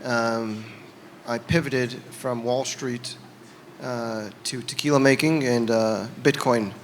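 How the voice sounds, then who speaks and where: A man makes his case earnestly on a summit panel, pausing often between phrases. His pitch is middle for a man. The sound rings in a long hall reverb over a faint hum of room noise. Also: fairly even intonation. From United States. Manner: earnest, hesitant with many fillers. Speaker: panel guest, candidate for governor. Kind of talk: campaign-style panel talk. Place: conference hall.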